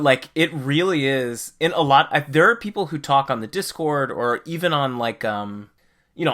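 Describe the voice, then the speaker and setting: A man's voice: mid-range voice, fairly bright tone. A man co-hosting a podcast, explaining things in a casual, conversational way. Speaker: podcast co-host.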